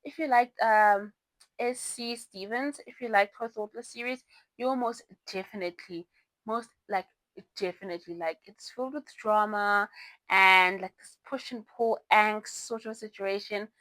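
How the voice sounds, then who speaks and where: A woman's voice: medium pitch, measured pace, a bit bright, no echo, low-quality recording. A young woman, not a native English speaker, hosting a casual book review vlog and rambling through her picks with self-corrections and laughs. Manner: chatty, rambling, self-correcting, giggly asides. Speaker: book reviewer, channel host.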